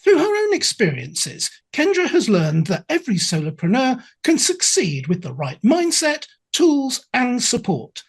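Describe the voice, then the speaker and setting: A woman's voice: on the high side, wildly swooping pitch, unhurried, hardly any pauses, slightly rough. An English woman hosting a podcast interview as an accountability coach, chatty and effusive with playful asides. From England.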